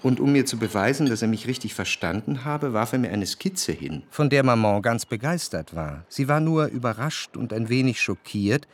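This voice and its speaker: An actor playing a reflective, cultured man who recalls his past in a literary radio drama, with measured, precise diction. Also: medium pitch, lively intonation, close to an everyday voice. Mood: reminiscing. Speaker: narrator.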